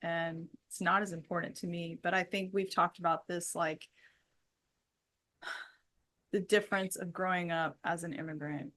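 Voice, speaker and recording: Woman with a lowish voice in easy conversation. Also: steady tone, unhurried, pauses often. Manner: warm, agreeable, frequent affirming "yeah". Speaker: podcast co-host. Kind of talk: casual conversation with her husband.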